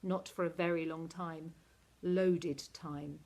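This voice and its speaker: A woman narrating a children's novel aloud in an expressive, animated teacher's reading style. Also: on the low side, takes it slowly.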